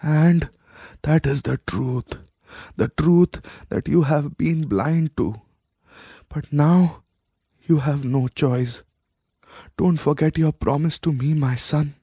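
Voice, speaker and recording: A man reading a story voices a proud, vengeful old queen, dying, with a feeble hoarse rasp. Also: fairly high pitch, takes it slowly, long pauses, warm tone, dry recording, pitched above the performer's own voice, heavily acted. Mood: insistent.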